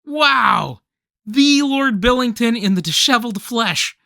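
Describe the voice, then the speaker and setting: A woman playing an earnest, starstruck fan in a radio play, with a gushing, halting delivery. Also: a little high, expressive, gravelly, a bit bright, dry recording, clean studio sound, exaggerated voice. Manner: stammering, gushing.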